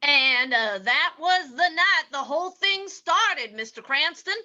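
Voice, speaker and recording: A woman's voice: high voice, animated, bright, forceful tone, full character voice. A woman playing a baffled, hesitant small-town sheriff in a radio drama reading. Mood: baffled, grave. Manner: hesitant, halting. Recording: dull lo-fi sound.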